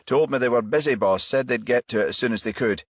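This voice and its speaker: A man's voice: medium pitch, fast, no echo, studio quality, pitched above the performer's own voice, lightly acted. A man voicing an eager, deferential junior detective who reports promptly in clipped phrases, in a crime audiobook. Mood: dutiful.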